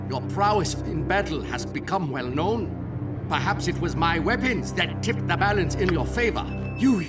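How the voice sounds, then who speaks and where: A nervous weapons merchant voiced by a man in a fantasy game. He speaks hesitantly in a high voice, somewhat distant under loud music. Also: expressive, normal speed. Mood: uneasy.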